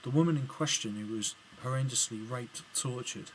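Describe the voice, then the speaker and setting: English male vlogger, mid-pitched, halting delivery with lots of fillers, light background hiss. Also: low-quality recording. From England. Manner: hesitant, many ums and restarts. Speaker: video blogger replying to another video. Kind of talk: casual spoken commentary to camera.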